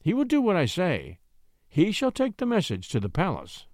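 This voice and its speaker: A sly countess of a period storybook, acted by a male narrator, lifted in pitch and swooping widely. She coaxes and pleads with a breathy, cajoling manner, in a dry, close sound. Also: wildly swooping pitch, moderate pace, darkish tone, no room sound, heavily acted. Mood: confident, scheming. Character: scheming countess, sly, coaxing, feigning innocent distress.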